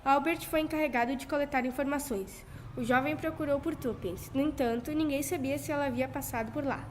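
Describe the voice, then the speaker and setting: Brazilian girl, young teen, high voice, scripted radio-drama narration, faint noise. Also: brisk. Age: young teenager. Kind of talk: scripted narration, 1940s-style school radio.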